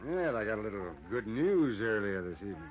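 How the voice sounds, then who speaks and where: A man's voice: on the low side, big pitch swings, a little fast. Folksy man on muffled old radio. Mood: casual, affable. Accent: American. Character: easygoing small-town cabin owner, secretly menacing man. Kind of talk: 1950s radio drama acting.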